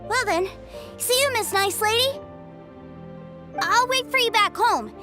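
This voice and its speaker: A woman's voice: very high voice, a little slow, pushed and bright, soft background music, exaggerated voice. A woman voicing an innocent, exuberant little boy with an eager, piping voice in an anime game dub. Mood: cheerful farewell. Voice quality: childlike, piping. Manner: eager, earnest, wide-eyed.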